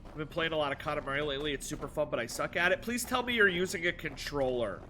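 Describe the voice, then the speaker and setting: A man's voice: fairly high pitch, quick pace, pushed and bright, a little hiss or hum. A man streaming a game with a stuffed-up nose, chatting sarcastically with his viewers as he plays. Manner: sarcastic, rambling banter with chat. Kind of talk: live gameplay stream, reading chat.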